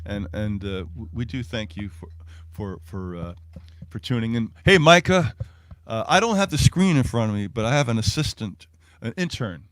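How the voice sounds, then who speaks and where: A man's voice: medium pitch, animated, normal speed, few pauses, no echo. A man, a performing singer-songwriter, talking casually between songs in a wry, self-deprecating way. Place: live-streamed music show at a venue.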